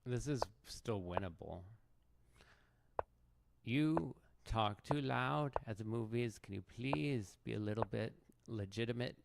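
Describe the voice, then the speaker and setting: A man's voice: medium pitch, slow pace, gravelly. A man streaming chess with casual, self-mocking, rambling commentary, in a noisy stream recording. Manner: self-mocking, rambling, restarts mid-thought. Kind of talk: casual live commentary while playing.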